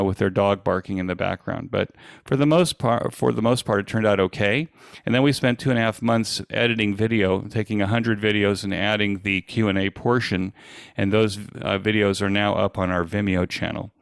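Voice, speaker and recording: A man giving an informal presentation as an event organizer, speaking in a halting, hesitant way with frequent pauses. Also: on the low side, steady tone, creaky, clean tone, no room sound, clean studio sound.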